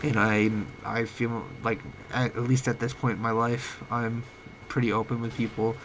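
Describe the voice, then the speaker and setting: A man's voice: mid-range voice, flat delivery. A young man with a cold talks late at night, his voice cracking now and then. He rambles and halts, full of fillers and restarts, into a home mic whose volume rises and falls. Faint hiss sits under the voice. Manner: rambling, halting, many fillers. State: sick, voice cracking. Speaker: vlogger. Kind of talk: late-night personal talk. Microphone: home microphone, uneven volume.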